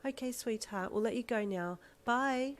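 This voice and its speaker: A woman's voice: fairly high pitch, normal speed, voice pushed up, lightly acted. Woman doing a gushing, tender mum. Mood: affectionate. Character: gushing warm middle-aged mother.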